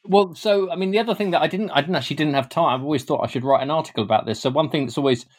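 A man's voice: a little high, racing. A British man speaking as an interviewed author, explaining things informally and often hesitating or repeating words as he goes. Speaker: guest author and historian.

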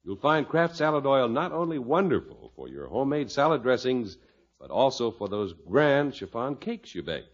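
A male announcer delivers a vintage radio commercial. His delivery is emphatic and extremely animated, with a husky edge. The recording is dull and muffled. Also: medium pitch, normal speed. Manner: emphatic, selling. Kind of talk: vintage radio advertising pitch.

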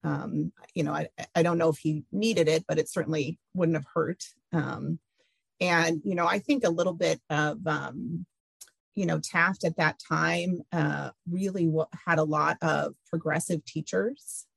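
A middle-aged woman being interviewed, talking hesitantly with many pauses and fillers. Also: low-pitched, steady tone, vocal fry, husky, no room sound. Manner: hesitant, many ums and restarts. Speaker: interview guest. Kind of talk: casual interview, recalling family history.

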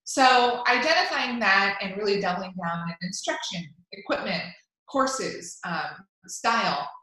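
A woman's voice: medium pitch, animated, measured pace, bright, forceful tone. A woman whose first language is Spanish, talking in an upbeat, chatty way with many fillers and restarts in an interview. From Cuban family. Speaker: golf media executive. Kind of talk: interview answers. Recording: echoey room.

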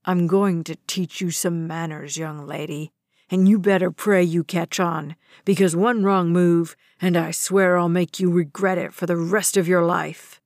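A stern, furious father, as a woman reader puts him on, speaking low with cold, controlled menace. The recording is dry and close, with no room sound. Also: level tone, slow, hardly any pauses, darkish tone, no room sound. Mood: cold threat. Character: stern angry middle-aged father.

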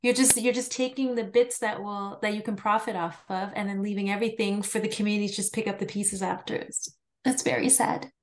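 A woman's voice: mid-range voice, fairly even intonation, fairly quick. A woman co-hosting a podcast who talks casually and thoughtfully, often dropping in "like". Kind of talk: podcast conversation.

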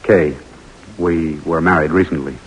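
An actor playing a composed, dutiful middle-aged army lieutenant in a measured old-time radio drama style. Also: male voice, very deep voice, steady tone, a little hiss or hum, poor audio. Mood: composed, deferential. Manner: measured, restrained, clipped military answers. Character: composed, dutiful forty-year-old army lieutenant narrating his mission.

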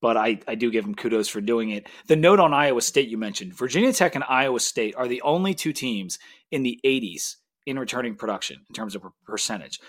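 A man's voice: medium pitch, lively intonation, brisk, fairly bright tone. A man hosting a sports podcast, chatting casually and enthusiastically.

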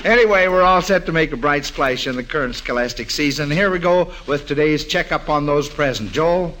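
A man's voice: a little high, brisk, a bit bright, poor audio. An American man hosting a mid-century radio quiz show as quizmaster. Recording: vintage radio recording, faint noise.

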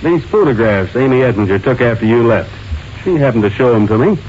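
Man acting male sheriff, medium pitch, folksy, deliberate, radio crime drama, muffled vintage sound. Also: lively intonation, a little hiss or hum, natural voice. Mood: pointed, matter-of-fact. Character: plain-spoken, shrewd male county sheriff laying out evidence.

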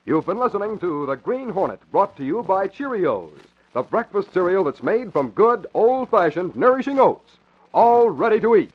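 A man's voice: high voice, sing-song, moderate pace, slightly rough. An American man announcing in scripted 1940s radio style, with an emphatic, promotional delivery. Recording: muffled vintage radio broadcast.

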